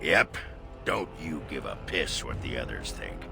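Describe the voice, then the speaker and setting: A man playing a crude, reckless dwarf warrior in a video game, brash and gruff with a gravelly voice. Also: rumbling low, sing-song, unhurried, exaggerated voice. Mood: gruff bravado. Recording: music behind the voice.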